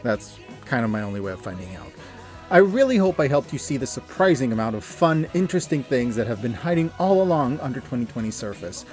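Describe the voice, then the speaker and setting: Expressive man in his thirties over music. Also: mid-range voice, a little fast. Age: 30s. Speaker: video creator and narrator. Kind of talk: scripted personal review narration. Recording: music under the voice. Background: background music.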